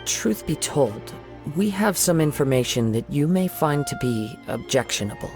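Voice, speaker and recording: An actor playing a calm young wandering samurai in a video game, gentle and polite as he questions people. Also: a little high, moderate pace. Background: game music.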